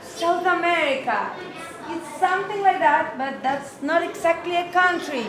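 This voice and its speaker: Woman asking kids questions real slow, high voice, echoey school hall with noise. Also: crowd noise. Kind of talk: quizzing an audience. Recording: echoey room, background noise.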